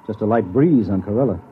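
An actor playing an arrogant, edgy space exploration veteran with a gruff, husky voice, in 1950s radio drama style. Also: male voice, lowish voice, a little hiss or hum. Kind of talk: 1950s radio acting with first-person narration. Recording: dull lo-fi old radio recording.

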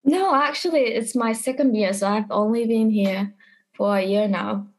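A woman's voice: fairly high pitch, normal speed. A young adult woman from Japan, an international university student, answering interview questions in a reflective, searching way.

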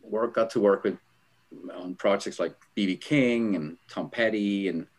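Rambling, lower-voiced Swiss-born man. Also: unhurried. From Switzerland. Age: middle-aged. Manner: rambling, filler-heavy, laughs while telling stories. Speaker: guest, album art director. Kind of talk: casual interview storytelling.

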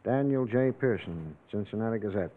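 A man's voice: lowish voice, lively intonation, normal speed, a little hiss or hum, close to an everyday voice. Casting: male actor for a suspicious, no-nonsense country sheriff, vintage crime radio, narrow phone-like recording. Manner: blunt, clipped, interrogating. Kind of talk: classic radio drama acting.

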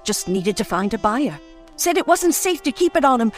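Anxious wife, high swooping voice. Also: female voice, sing-song, moderate pace, faint music behind. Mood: uneasy, hesitant. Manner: hesitant, plaintive, dramatic. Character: anxious, genteel wife of a missing man. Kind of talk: old-time radio melodrama acting.

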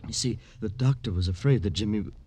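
An actor playing a guilt-ridden, broken man in an old radio crime drama, fragile and halting. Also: fairly low pitch, mellow, slightly rough. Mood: dazed, halting. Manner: halting, anguished. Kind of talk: 1950s radio drama acting.

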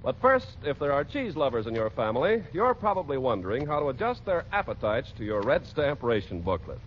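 A man's voice: medium pitch, big pitch swings, brisk, a little hiss or hum. An American man announcing and narrating in a polished, emphatic 1940s radio style. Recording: muffled vintage 1940s radio broadcast. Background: music.

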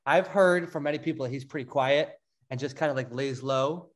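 A man's voice: mid-range voice, a little fast. A man interviewing a guest in casual chat, mostly giving brief affirming replies.

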